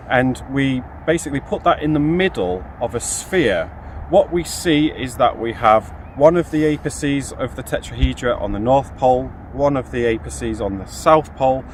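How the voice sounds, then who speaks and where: A man in his thirties giving an informal, explanatory lecture outdoors to camera, posing questions and answering them. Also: mid-range voice. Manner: explanatory, poses rhetorical questions. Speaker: field geologist giving an explanation. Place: outdoors in a grassy field. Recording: outdoor recording with clear ambient noise.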